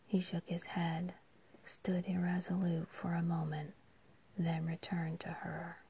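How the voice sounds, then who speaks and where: A woman reads a story entirely in a hushed whisper. Her delivery is unhurried and low, with a flat, even line. A faint background hum sits under the voice. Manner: soft, hushed whisper throughout. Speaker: narrator. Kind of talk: whispered audiobook reading.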